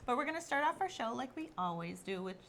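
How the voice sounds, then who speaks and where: A woman's voice: a little high, very animated, fast talker, fairly bright tone, slight background noise. A woman in her fifties co-hosting a local TV talk show in a casual, chatty conversational style. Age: 50s. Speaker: co-host of a local TV chat show.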